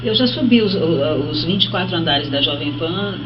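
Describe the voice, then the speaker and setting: A woman's voice: sits low, animated, normal speed. Chatty mature Brazilian woman, muffled noisy audio. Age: middle-aged or older. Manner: chatty, interjecting. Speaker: talk show host. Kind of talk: casual interview chat. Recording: muffled, noisy, distant-sounding broadcast audio.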